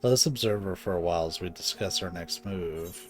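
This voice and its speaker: A man's voice: deep voice, fairly quick. A man streaming a video game with informal, sweary live commentary, sometimes reading the dialogue aloud. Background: game music.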